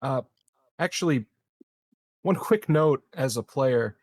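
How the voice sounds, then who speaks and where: A man playing a tabletop game, chatting casually in hesitant, halting phrases. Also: mid-range voice, expressive, a little mellow.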